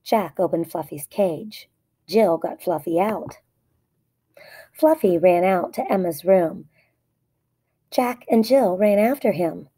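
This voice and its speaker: A woman's voice: lowish voice, takes it slowly, long pauses, mellow, husky. A woman narrating a children's story aloud in short, plainly phrased sentences. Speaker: storybook reader.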